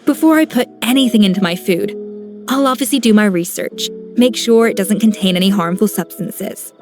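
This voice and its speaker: A woman voicing a composed grown-up master chef in a video game, calm and gently instructive like a mentor. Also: mid-range voice, normal speed, close to an everyday voice. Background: soft game music.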